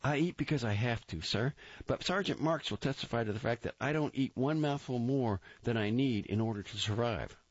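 A man's voice: medium pitch, a little fast, no echo, voice pushed up, natural voice. A man voicing a persistent young army private who speaks in an eager, overly polite, pleading way. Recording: dull dry recording.

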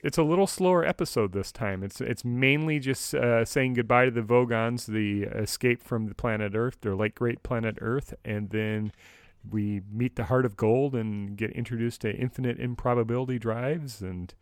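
Casting: male podcast co-host, mid-pitched, agreeable and measured, conversational, very dry close sound. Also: no room sound. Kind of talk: casual discussion of a radio drama.

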